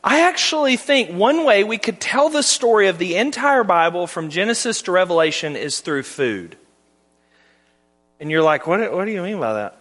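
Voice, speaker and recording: A higher-pitched man with a Southern accent preaches a Sunday sermon. His delivery is folksy and conversational, climbing into emphatic stretches. The voice rings out with a long church-hall reverb. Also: animated, moderate pace, a bit bright. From Tennessee. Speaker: guest preacher, Bible department head. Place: church sanctuary.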